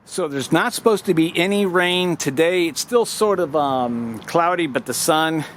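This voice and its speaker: A man who runs live sound, giving a plain, practical walkthrough in a casual vlog, pausing often with "uh". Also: fairly high pitch, lively intonation, echoey room, noisy background. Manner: plain, practical, frequent "uh" pauses. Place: outdoors at a brewery gig.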